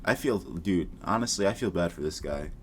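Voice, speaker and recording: Deep-voiced man, blunt casual commentary. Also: lively intonation, moderate pace, slight background noise. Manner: blunt, slangy, profane. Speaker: YouTube commentator. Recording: music behind the voice. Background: background music.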